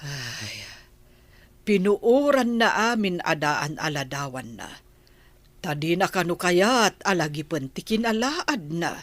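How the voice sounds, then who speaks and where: A woman playing an old grandmother, questioning and gently scolding, with an Ilocano accent in a radio drama. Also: lowish voice, very animated, slow, dry recording, exaggerated voice. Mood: concerned. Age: older.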